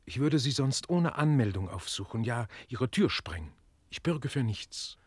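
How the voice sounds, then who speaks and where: An actor in a German radio play voicing a proud, lovesick marquis with an impassioned, urgent manner. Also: male voice, medium pitch, very animated, moderate pace, husky, no echo. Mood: desperate agitation. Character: lovesick French marquis, impetuous, proud, desperate aristocrat. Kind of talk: German radio drama acting.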